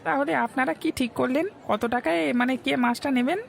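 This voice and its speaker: Eager cartoon fish seller played by a woman, highish gravelly voice, salesmanlike chatter. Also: normal speed, exaggerated voice. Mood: businesslike. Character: sly, eager market fish seller named Rabi. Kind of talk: Bengali cartoon dialogue.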